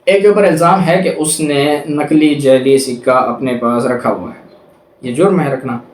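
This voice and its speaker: A man's voice: medium pitch, normal speed. A man with a Pakistani accent giving an explanatory law lecture, didactic, restating points and mixing in English legal terms. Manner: didactic, repeats and rephrases points; switches between Urdu and English legal terms. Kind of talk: explanatory lecture with examples, reading out legal text. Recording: echoey, roomy sound.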